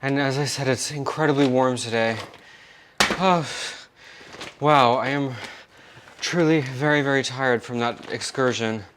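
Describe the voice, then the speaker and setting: A man's voice: mid-range voice, a little slow, a bit bright, a little hiss or hum. A man chatting casually and rambling a bit as he vlogs his day. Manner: chatty, rambling, self-talking. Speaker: vlogger. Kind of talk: casual vlog chat.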